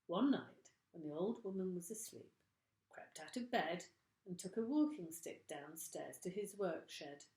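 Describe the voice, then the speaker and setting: Woman teacher, storybook narrator, slow and lively, echoey room. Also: lowish voice. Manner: slow, expressive reading. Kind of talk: storybook reading for children.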